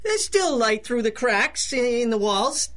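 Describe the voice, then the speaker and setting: Cocky, jumpy male stick-up man for pulp radio drama; sharp, animated, slangy. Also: extremely high pitch, slow pace, heavily acted. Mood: nervous bluster. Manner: tough-guy slang, swaggering. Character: bitter, cocky small-time male robber, jumpy under bluster. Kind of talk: 1930s-style radio drama acting.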